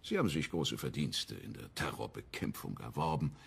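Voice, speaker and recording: An actor playing a stern, condescending government minister in a radio crime drama, curt and lecturing. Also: male voice, rumbling low, lively intonation, normal speed, creaky, breathy edge, full character voice. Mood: cool, condescending.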